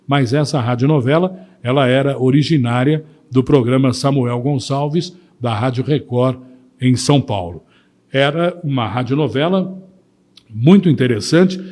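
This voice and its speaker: A man's voice: mid-range voice, darkish tone. Male Brazilian broadcaster, medium register, presenting reflective commentary straight to listeners.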